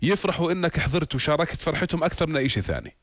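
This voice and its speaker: Fast-talking Jordanian man hosting radio. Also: on the high side, animated, clean studio sound. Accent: Jordanian Arabic. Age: 30s. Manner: engaged, echoes and affirms what callers say. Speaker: radio call-in show host. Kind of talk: casual phone-in discussion. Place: radio studio.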